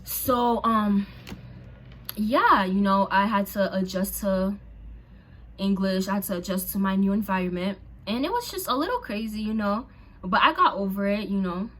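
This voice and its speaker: Chatty Haitian-born woman telling a story. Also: medium pitch. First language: Haitian Creole and French. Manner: chatty and expressive, slangy, lots of fillers and exclamations. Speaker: vlogger. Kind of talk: casual storytime chat to camera.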